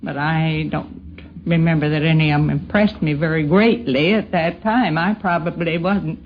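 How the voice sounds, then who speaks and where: An older woman with an American accent, reminiscing in a measured, matter-of-fact way in a dull 1950s radio interview. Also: low voice, fairly even intonation, a little hiss or hum. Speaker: interviewee recalling an old acquaintance. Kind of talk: unscripted reminiscing.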